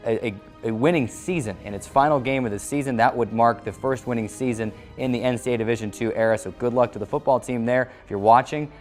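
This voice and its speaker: American man hosting sports show over music. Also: mid-range voice. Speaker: sports show host and play-by-play announcer. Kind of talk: scripted sports show presenting. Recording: music playing under the voice. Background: background music.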